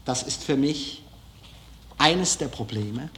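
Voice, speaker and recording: A man speaking in a reflective personal monologue, deliberate and emphatic, with long pauses. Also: medium pitch, lively intonation, a bit bright. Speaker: film director.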